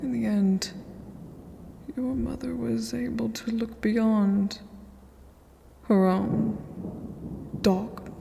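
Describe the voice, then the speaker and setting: A haughty, ageless vampire queen for a fantasy ASMR roleplay. Her words come extremely slowly in a regal, condescending half-whisper with a crackling fry. A long, cavernous reverb surrounds her. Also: a little high, steady tone, mellow, heavily acted. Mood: cool, condescending. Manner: formal, measured, imperious, breathy half-whisper.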